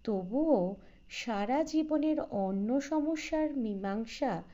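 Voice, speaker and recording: A woman narrates a literary story at a slow, measured pace. Her voice is mellow and mid-pitched, with expressive phrasing. The recording is slightly dull. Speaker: audiobook narrator.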